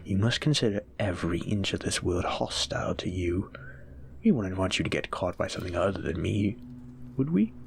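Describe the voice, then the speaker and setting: A man voicing a gravelly, teasing male monster. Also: sits low, expressive, slight background noise. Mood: menacing. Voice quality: gravelly, breathy whispers. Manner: teasing, menacing, slow and savoring. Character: sadistic monster stalking a human, male. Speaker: voice actor in an audio roleplay. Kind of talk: audio roleplay monologue.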